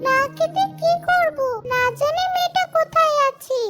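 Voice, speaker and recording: Woman as an anxious, high-voiced village mother. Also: normal speed. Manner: fretful, questioning. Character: anxious village mother, stern but caring. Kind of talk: children's cartoon story acting. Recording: loud music behind the voice.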